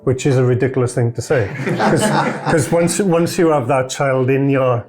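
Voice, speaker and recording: A foster father telling a lively, self-mocking story about his family. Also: medium pitch, moderate pace, music in the background. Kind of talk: anecdotal storytelling.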